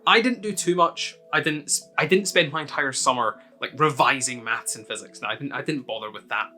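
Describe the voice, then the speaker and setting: A man's voice: mid-range voice, fairly quick, bright, forceful tone, faint music behind. A young Scottish man, a university student, giving friendly, step-by-step advice as he answers viewers' questions one by one. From Scotland. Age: young adult. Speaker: student video host. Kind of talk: casual advice talk to camera.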